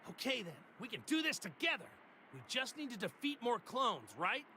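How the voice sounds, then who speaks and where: A high-voiced, upbeat martial arts hero. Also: male voice, big pitch swings, moderate pace, sharp tone, slight background noise, heavily acted. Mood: earnest, determined. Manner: eager, earnest, emphatic. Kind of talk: English anime game dub acting.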